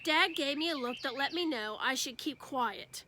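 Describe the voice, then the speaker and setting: A woman reading a children's book aloud as an expressive storyteller. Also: high voice, moderate pace, slight background noise.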